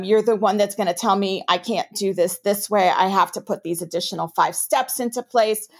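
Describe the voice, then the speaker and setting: A woman from New York with a New York accent, answering interview questions as a compliance officer in a measured, explanatory way. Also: mid-range voice. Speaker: chief ethics and compliance officer.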